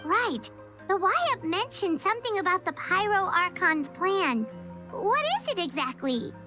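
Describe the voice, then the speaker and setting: A woman's voice: very high voice, animated, unhurried, over music. A woman voicing a small, childlike, chirpy fairy companion in a video game. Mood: chirpy, curious. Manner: refers to self in third person. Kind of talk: animated video game voice acting.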